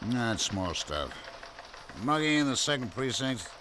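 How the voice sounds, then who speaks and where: Sardonic police lieutenant over clear background noise. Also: male voice, mid-range voice, sing-song, unhurried. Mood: wry, brusque. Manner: wry, streetwise, colloquial. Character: gruff, sardonic, world-weary police lieutenant. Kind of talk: radio drama acting.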